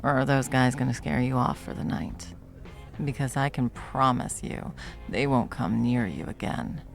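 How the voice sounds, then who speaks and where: Woman acting a very low-voiced, flirty female bartender. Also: expressive, vocal fry, soft background music, exaggerated voice. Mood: playful, teasing. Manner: teasing, unhurried, soft chuckles, dropping toward whispers. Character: confident, flirtatious, protective hot female bartender. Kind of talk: ASMR roleplay, intimate one-sided conversation.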